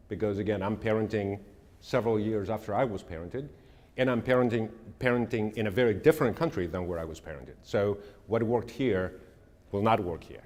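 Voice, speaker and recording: Middle-aged man, lowish voice, earnest measured talk, long hall reverb. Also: a little mellow. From Greece. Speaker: speaker. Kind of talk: presentation with audience Q&A.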